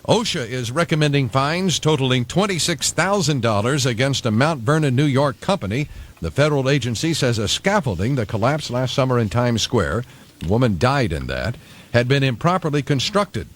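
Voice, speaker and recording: Male radio newsman with lively, varied pitch. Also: normal speed. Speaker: radio news anchor. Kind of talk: scripted local news reading. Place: radio studio.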